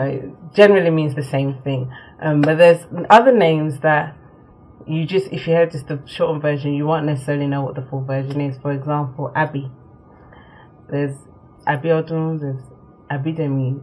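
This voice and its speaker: A young woman born in Nigeria talks casually to the camera, answering questions one by one. She fills her pauses with ums and laughs easily. A faint hiss sits under the recording. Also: sits low, a little mellow, rough recording. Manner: chatty, with many ums and quick laughs. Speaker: vlogger.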